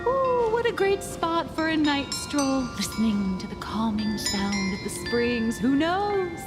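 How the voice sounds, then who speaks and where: A woman's voice: sits high, sing-song, a little slow, music in the background. A woman voicing a dramatic, easily frightened young comic artist in an anime game, theatrical and exclamatory. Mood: wistful.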